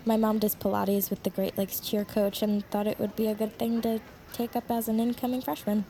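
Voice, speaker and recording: A woman's voice: mid-range voice, little pitch movement, brisk, dark tone, slight background noise, lightly acted. An actress playing an evasive, uneasy teenage girl who talks hesitantly and stammers, in naturalistic audio drama. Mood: awkward, evasive. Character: evasive, uneasy teenage girl, incoming high school freshman.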